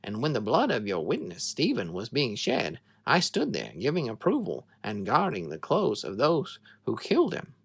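A man reading a Bible story aloud in an expressive, dramatic storytelling manner. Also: mid-range voice, moderate pace, vocal fry, few pauses, husky, no echo.

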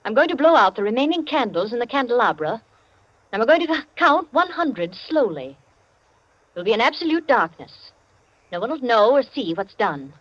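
An actress playing an imperious, determined society hostess in a 1940s radio drama, commanding and measured in manner. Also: female voice, a little high, very animated. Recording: muffled vintage 1940s radio recording.

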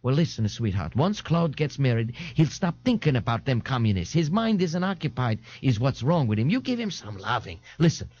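A man voicing a brassy, meddling working-class woman in an audiobook, husky, folksy and bossy. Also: medium pitch, very animated, moderate pace, hardly any pauses, darkish tone, dull audio. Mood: bossy advice. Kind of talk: audiobook character voice.

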